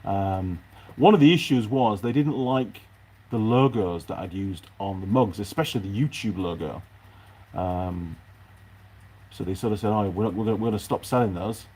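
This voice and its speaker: A man's voice: lowish voice, normal speed, dark tone, husky. A man chatting casually and unscripted to his viewers as a mechanic vlogger, rambling along and thinking aloud in his workshop.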